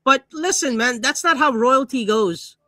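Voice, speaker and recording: A man bantering casually on a livestream panel, loud, combative and full of swearing. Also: extremely high pitch, measured pace, no echo. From born in South Asia, moved to Canada at nine.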